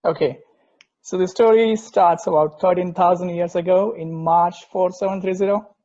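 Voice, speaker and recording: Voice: mid-range voice, steady tone, moderate pace, mellow, dull audio, close to an everyday voice. A performer playing an enthusiastic, snarky sports podcast co-host in a casual table read. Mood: casual storytelling.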